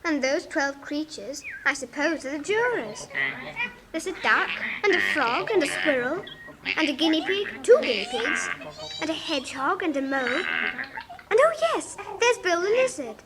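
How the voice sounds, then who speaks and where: A woman's voice: sits high, very animated, moderate pace. A woman playing an inquisitive, outspoken young girl with a precise British accent, in a 1950s audio storybook. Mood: curious. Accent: British Received Pronunciation. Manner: precise, forthright, questioning. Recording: noisy 1950s record with clicks.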